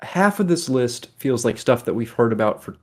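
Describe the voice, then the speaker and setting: A man's voice: medium pitch, very animated, normal speed. A man co-hosting a gaming podcast in casual group conversation. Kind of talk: casual group chat about games and films.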